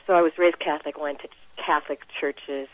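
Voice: medium pitch, fairly even intonation, fairly quick, no echo, poor audio. Reflective musician guest, dry close sound. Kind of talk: conversational interview.

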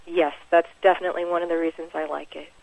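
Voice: mid-range voice, level tone, quick pace, creaky, darkish tone, no echo, low-quality recording. Reflective musician guest, dry close sound. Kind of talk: conversational interview.

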